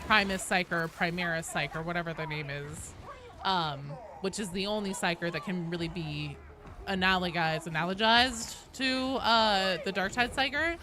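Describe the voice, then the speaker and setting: A woman's voice: on the low side, normal speed. A woman livestreaming a game, chatting casually in a rambling, sweary, self-deprecating way. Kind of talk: casual gaming stream chat and commentary.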